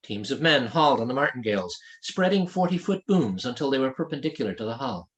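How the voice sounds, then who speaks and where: A man's voice: medium pitch, big pitch swings, quick pace, lo-fi sound. A man reading his own fantasy novel aloud as scripted audiobook narration.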